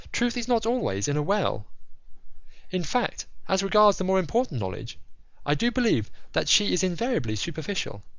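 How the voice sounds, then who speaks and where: Man playing a higher-pitched, superior, lecturing detective. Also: gravelly, fairly bright tone, dry recording, highs cut off, exaggerated voice. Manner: didactic, precise, lecturing. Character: brilliant analytical detective, superior and disdainful. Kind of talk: audio drama character speech.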